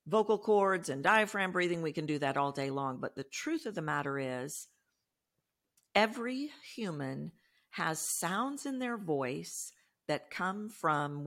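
A woman from Texas with a Texan accent, a voice coach explaining her work in a podcast interview in an emphatic, animated way. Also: low-pitched. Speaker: voice coach guest.

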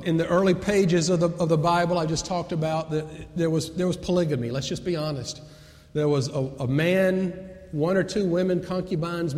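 High-voiced male preacher in an echoing hall. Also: a little mellow. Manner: repeats key phrases for emphasis. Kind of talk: sermon with storytelling. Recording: echoey hall with long reverb.

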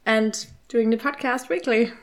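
A woman's voice: a little high, fairly even intonation, measured pace. A woman in her twenties from Austria, chatting casually as a guest in an interview, with many fillers and frequent laughs. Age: 20s. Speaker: podcast co-host, guest.

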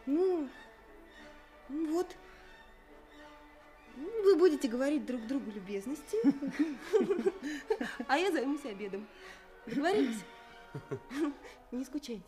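An actress playing a lively, teasing young fiancee in a radio play, coaxing and insisting. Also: female voice, high-pitched, takes it slowly, long pauses, exaggerated voice. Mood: cheerful. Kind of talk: 1980s Soviet radio play acting. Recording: theatrical radio play with music behind.

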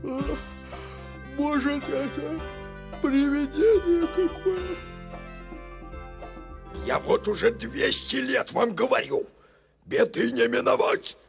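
An actor playing a grumpy, suspicious old dwarf in a cartoon, grumbling bossily in a creaky voice. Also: male voice, very high-pitched, very animated, unhurried, over music, exaggerated voice. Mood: gloomy alarm. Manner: grumbling, gloomy, bossy. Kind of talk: cartoon voice acting.

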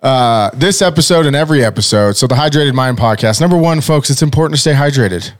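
A man in his forties hosting a casual podcast, talking loudly and energetically, joking and using fillers. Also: mid-range voice, animated, fast, no room sound. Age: 40s. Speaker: podcast host. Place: podcast studio. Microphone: studio mic with headphones.

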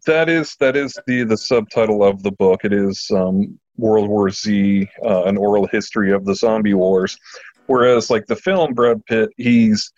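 A man with a fairly low voice talks as a podcast host in a casual, enthusiastic way. He stops and restarts his phrases often. The recording is slightly dull and lo-fi, with room echo around him. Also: a little mellow. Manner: enthusiastic, halting delivery with repeated words. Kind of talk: casual chat. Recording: muffled lo-fi audio in a roomy space.

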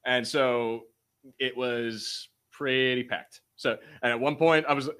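A man co-hosting a podcast, telling stories in a casual, animated, self-deprecating way with some swearing. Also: mid-range voice, slow pace, smooth. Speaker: podcast co-host. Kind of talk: casual banter, storytelling.

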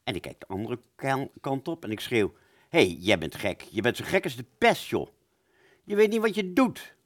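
Man acting animated, rambling wartime fighter pilot. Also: medium pitch, normal speed, dry recording, studio quality, natural voice. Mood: shouting, angry. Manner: stammering, repeating, dramatic. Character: rambling, agitated male wartime fighter pilot reliving battle. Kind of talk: radio play monologue.

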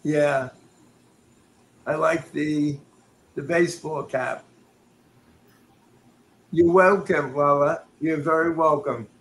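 A middle-aged man from New York and Connecticut speaks in a slow, unhurried way, keeping his voice deliberately soft and low. His pitch sits a touch high for a man. He chats gently as a psychic medium on a live show. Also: fairly even intonation, a little hiss or hum, rough recording. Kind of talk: live online chat and readings.

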